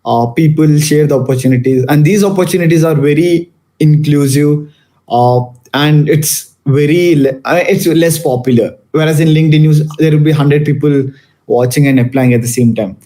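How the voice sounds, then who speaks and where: A man's voice: on the high side, normal speed, warm tone. A young man from Malaysia giving a casual talk to students, with many fillers and restarts. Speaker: guest speaker.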